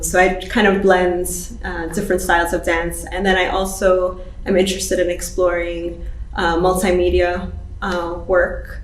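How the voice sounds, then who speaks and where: A woman's voice: on the low side, far from the mic, a little hiss or hum. A woman from New York, a choreographer, thoughtfully explaining her work in an interview. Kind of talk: interview talk. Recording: roomy room with faint ambience.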